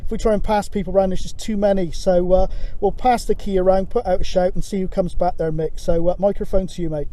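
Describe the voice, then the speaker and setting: A man with a notably high voice runs a CB radio net. He talks casually to callers, with clear radio noise around him. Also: fairly even intonation, fast talker, hardly any pauses, mellow. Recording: very noisy CB radio audio.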